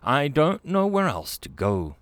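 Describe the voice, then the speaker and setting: A man voicing a nervous, furry alien male who speaks formally and haltingly. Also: fairly high pitch, huge pitch swings, fairly bright tone, dry recording, clean studio sound, voice pushed up, full character voice. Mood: distraught.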